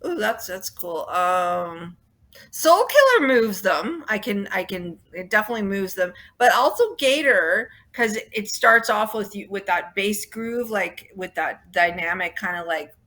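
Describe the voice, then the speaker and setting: Toronto-accented young woman, guest musician; bright, talkative, loose and rambling. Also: medium pitch, lively intonation, unhurried. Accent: Canadian, Toronto. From Australia. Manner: chatty, rambling with fillers and restarts. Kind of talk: casual interview chat.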